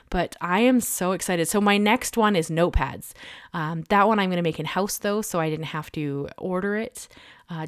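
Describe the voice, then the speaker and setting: Slightly low woman with a head cold. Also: no echo, clean studio sound. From Canada. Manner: low-energy, chatty, many restarts. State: has a head cold and sore throat. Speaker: card-making channel host. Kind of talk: crafting tutorial voiceover.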